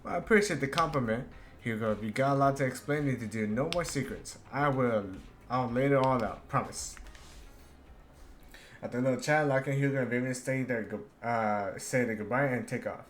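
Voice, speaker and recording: A man gives casual video game commentary, reading lines aloud in a halting, stumbling way. His voice sits at a middling pitch, with clear noise behind it.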